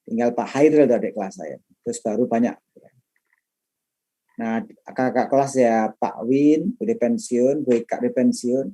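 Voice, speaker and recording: The mellow-voiced older man at the front of the first class of term, chatting with students about himself before the syllabus starts. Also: medium pitch, normal speed. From Madiun, East Java, Indonesia. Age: middle-aged, late 50s. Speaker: lecturer and trainer. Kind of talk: informal class introduction, chatty autobiographical talk.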